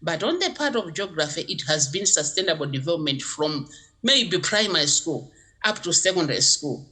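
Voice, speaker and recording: Lively bright-voiced woman. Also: deep voice, normal speed, breathy edge. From Malawi. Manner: emphatic, animated explaining. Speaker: geography teacher. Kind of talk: interview answers.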